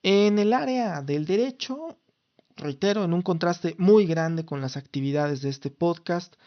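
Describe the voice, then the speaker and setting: A man's voice: sits high, a little slow. Formal-sounding Mexican man, lo-fi recording. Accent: Mexican Spanish. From Mexico City. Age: 30s. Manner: formal, lawyerly phrasing with frequent restarts and fillers. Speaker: podcast host. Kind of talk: casual solo podcast talk.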